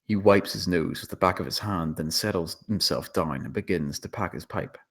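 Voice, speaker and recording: Low-voiced man narrating a screenplay. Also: normal speed. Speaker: narrator reading stage directions. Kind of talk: dramatic scripted narration.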